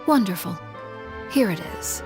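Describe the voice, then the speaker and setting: A woman playing a cold, composed head of a crime family in a video game, telling of a dark past in a soft, measured, formal way. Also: mid-range voice, animated. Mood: cool, composed. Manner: measured, deliberate, formal, restrained. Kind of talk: scripted video game dialogue, storytelling. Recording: studio voice over game music. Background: background game music.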